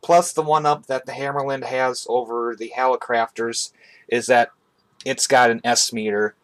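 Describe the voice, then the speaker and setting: A man's voice: mid-range voice, fairly even intonation, moderate pace, rough recording. A young man chatting casually and a little hesitantly as he gives an informal hobbyist review, recorded in a small room. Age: young adult. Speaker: hobbyist video host. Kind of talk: casual product review chat. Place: small bedroom indoors.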